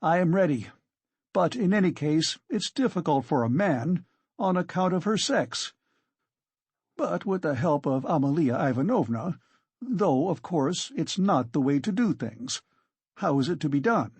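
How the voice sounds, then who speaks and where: A narrator voicing a pompous, self-righteous gentleman accuser with formal, reproachful speech in an audiobook reading. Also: medium pitch, lively intonation, measured pace, husky, no room sound, pitched above the performer's own voice. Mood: flustered, placating.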